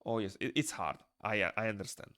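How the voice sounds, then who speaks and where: A young man with a Moldovan accent chats loosely with his stream viewers while he draws. His voice sits on the low side with a lot of gravelly fry. It is recorded dry and close in a small room. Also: fast, pushed and bright, no room sound. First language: Romanian. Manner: rambling, reads chat aloud and answers it. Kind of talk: casual chat while drawing on stream.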